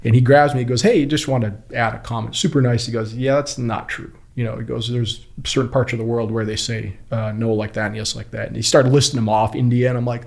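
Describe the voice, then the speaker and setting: Guy guest on a podcast telling stories, medium pitch, loose slangy talk, does the dialogue bits. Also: a little fast, creaky, few pauses. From Kuwait. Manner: animated retelling with acted-out quotes, informal slangy phrasing. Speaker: podcast guest, body-language instructor and former US Marine. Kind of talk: casual storytelling in an interview.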